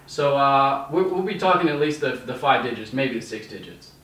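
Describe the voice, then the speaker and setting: Young male, prank phone caller posing as producer. Halting, repetitive delivery, a bit distant from the mic. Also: medium pitch, animated, fairly bright tone. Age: young adult. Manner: hesitant, repeats phrases. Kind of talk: improvised prank phone call. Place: small bedroom, indoors. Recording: roomy, slightly distant microphone.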